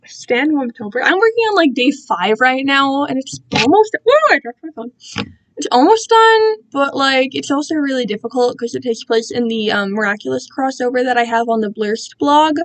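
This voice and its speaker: High-voiced, rambling young woman chatting casually. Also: lively intonation, normal speed, poor audio. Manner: rambling, self-deprecating, giggly internet slang. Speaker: amateur writer reading own fan fiction. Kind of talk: casual livestream chat.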